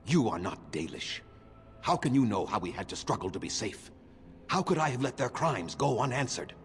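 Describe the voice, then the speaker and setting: A man's voice: mid-range voice, very animated, moderate pace, soft background music, close to an everyday voice. A man voicing a bitter old elf elder with a sweeping voice. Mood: grieving. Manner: stern, grieving, declamatory. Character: ancient bitter elf keeper, proud and vengeful. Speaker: game character. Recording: reverberant game audio with faint noise.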